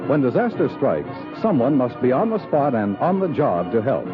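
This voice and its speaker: A man's voice: medium pitch, wildly swooping pitch, moderate pace. Old time american radio announcer guy, super swoopy delivery, music behind, tinny. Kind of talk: 1950s radio announcing, scripted.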